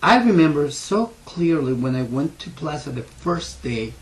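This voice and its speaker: A man's voice: on the high side, sing-song, low-quality recording. Ecuadorian man with a heavy Spanish accent. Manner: earnest, halting with many fillers and restarts. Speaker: interviewee, school owner. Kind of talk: personal story in an interview.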